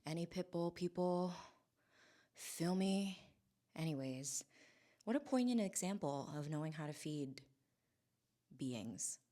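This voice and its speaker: A woman from Hawaii, in her forties, talks casually to her camera at home. Her voice sits very low for a woman. She muses and wanders, stopping and restarting her thoughts with plenty of fillers. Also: animated, warm tone. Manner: musing, halting, with many restarts and fillers. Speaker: vlogger. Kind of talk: casual reflective monologue. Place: home in Southern California.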